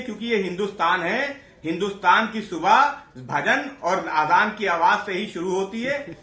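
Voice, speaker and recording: Echoey, sharp-voiced male political debater. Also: high voice. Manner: combative, insistent. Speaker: political party spokesman, debate panelist. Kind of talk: argumentative TV panel debate. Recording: echoey remote panel feed.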